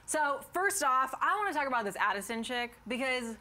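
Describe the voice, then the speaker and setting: A woman's voice: high voice, expressive, fairly quick, pushed and bright. A young woman hosting a casual fan recap show, chatty and upbeat with plenty of fillers. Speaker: host.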